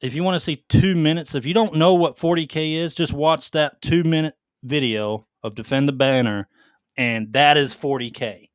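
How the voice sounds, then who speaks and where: A man's voice: on the high side, normal speed, clean tone, no echo, clean studio sound. A man co-hosting a casual gaming podcast, talking in a slangy, profane, enthusiastic way. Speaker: podcast co-host. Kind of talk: casual chat about video games.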